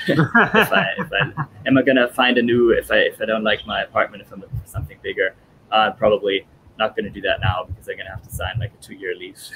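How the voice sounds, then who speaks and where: A man's voice: on the low side, fairly even intonation, fast talker, a bit bright, a little hiss or hum, rough recording. A man in his thirties, born in Italy and raised in German-speaking Europe, answering interview questions thoughtfully over a video call with many fillers. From born in Italy, grew up in German-speaking Europe. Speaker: guest. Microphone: headphones on video call.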